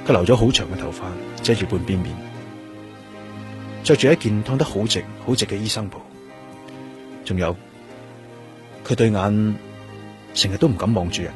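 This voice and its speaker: A man's voice: on the low side, over music, close to an everyday voice. Man acting probing police detective, Hong Kong Cantonese. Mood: reflective narration. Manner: measured, questioning, with noncommittal hums. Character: probing male CID police detective, calm and persistent. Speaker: actor. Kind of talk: Cantonese radio drama acting.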